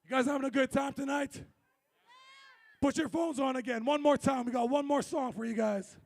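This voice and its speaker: A man performing on stage at a live community event, hoarse from losing his voice, calling out to the crowd on a handheld mic between songs. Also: very high-pitched, moderate pace. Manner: energetic, calls to the crowd. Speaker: performer hosting a stage set. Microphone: handheld microphone.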